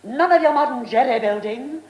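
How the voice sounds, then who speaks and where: A woman's voice: sits high, moderate pace, darkish tone, natural voice. A woman playing an imperious, stubborn lady of the manor in a British radio drama, with a haughty upper-class English accent. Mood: haughty, dismissive. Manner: haughty, cutting, emphatic. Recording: roomy, echoing radio drama studio.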